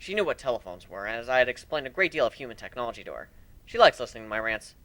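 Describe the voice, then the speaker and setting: A man giving an amateur dramatic reading of a first-person story, expressive and a little unpolished. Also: medium pitch, racing, dry recording. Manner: expressive, animated, with slips and restarts.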